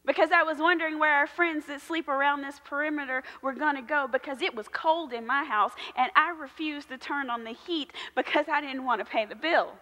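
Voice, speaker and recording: A woman in her thirties, a pastor preaching a sermon in a large church sanctuary with slow, emphatic repetition. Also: high-pitched, bright, forceful tone. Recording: long church hall reverb.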